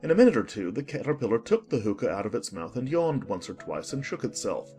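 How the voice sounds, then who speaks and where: A man's voice: medium pitch, big pitch swings, fast talker, dark tone, soft background music. A man narrating a dramatized children's storybook in a scripted audiobook style.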